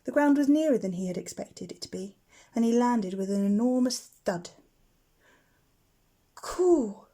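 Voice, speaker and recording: A woman's voice: mid-range voice, a little fast, warm tone. A woman narrating a children's bedtime story as a teacher would.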